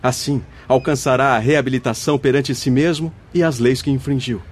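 A man's voice: medium pitch, steady tone, natural voice. A man acting a wise, comforting spirit guide in a radio drama, speaking formally and patiently, as if teaching. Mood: firm reassurance. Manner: measured, formal, didactic. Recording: music under the voice. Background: background music.

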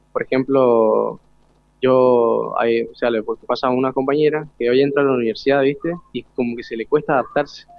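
A man's voice: medium pitch, creaky, no room sound. A young Argentine man speaks as a student guest in an informal interview. He is earnest and colloquial, often checking that he is understood. The sound is close and dry, slightly muffled. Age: teens to young adult. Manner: colloquial, earnest, seeks agreement with question tags.

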